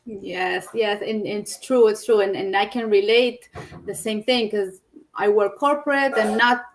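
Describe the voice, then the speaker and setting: A woman hosting a live-stream chat on a video call. She talks in a halting way and often restarts her phrases. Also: medium pitch, steady tone, slight background noise, rough recording. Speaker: host.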